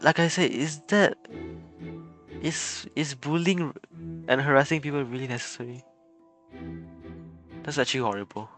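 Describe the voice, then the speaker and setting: Guy streaming a game, chatting casually, stumbles and repeats words, average pitch, faint game music behind. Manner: halting, repeats words, chuckles often. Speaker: live streamer.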